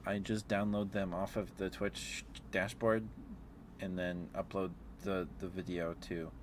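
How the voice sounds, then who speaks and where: A man chatting casually on an ASMR livestream as the host, in a hesitant, halting, chuckly and apologetic way. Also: deep voice, little pitch movement, noisy background. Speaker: live streamer hosting an ASMR stream.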